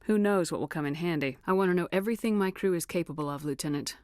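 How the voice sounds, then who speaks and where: A confident military commander of a starship , played by a woman in a video game. Her voice sits on the low side and is recorded close and dry. She speaks with clipped, assured authority and a dry, teasing wit. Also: fast, a little mellow, no room sound, lightly acted. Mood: firm, composed. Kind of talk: scripted video game dialogue.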